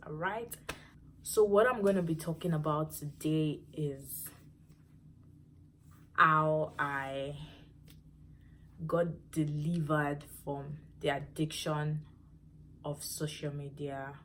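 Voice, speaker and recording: A woman's voice: deep voice, fairly even intonation, takes it slowly, pauses often, a little hiss or hum. A young woman with a Nigerian Yoruba accent, a native Yoruba speaker, chatting directly to her viewers as she shares a personal testimony. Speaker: vlogger. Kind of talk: casual personal testimony to camera.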